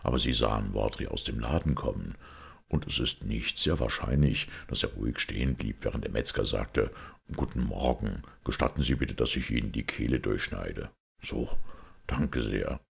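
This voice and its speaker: A man plays a shrewd priest detective in an audiobook, extremely deep and full of creak. He muses calmly and deliberately, with quiet irony and a very husky grain. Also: exaggerated voice. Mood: dryly ironic. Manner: deliberate, reasoning, quietly ironic. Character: calm, shrewd priest detective, musing and mild.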